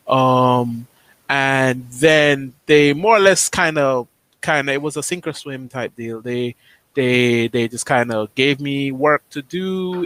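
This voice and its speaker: A man's voice: medium pitch, a bit bright. A man, a front-end developer, talking casually on a video call, hesitant, with lots of fillers and restarts.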